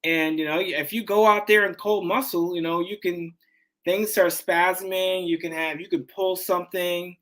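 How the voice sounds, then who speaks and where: A man's voice: sits high, fairly even intonation, normal speed, a bit bright. A man in his forties, a fitness trainer guest in a casual interview, talking animatedly with many restarts and saying "man" and "dude". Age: 40s.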